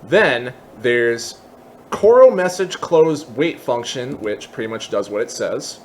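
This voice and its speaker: A man giving a casual software tutorial, explaining each step in an informal, candid way as he thinks aloud. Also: medium pitch, animated, takes it slowly, slight background noise. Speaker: tutorial host.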